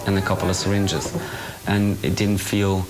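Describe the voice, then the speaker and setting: A young man from Germany speaking unscripted in an interview, halting and understated. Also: deep voice, level tone, low-quality recording. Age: young adult. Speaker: interviewee, donor.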